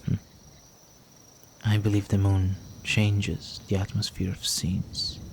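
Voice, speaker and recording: Low, slow, seductive vampire played by a man. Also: completely flat delivery, slight background noise. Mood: tender, admiring. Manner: courtly, flirtatious, teasing, breathy with drops into whisper. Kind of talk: intimate scripted roleplay audio drama.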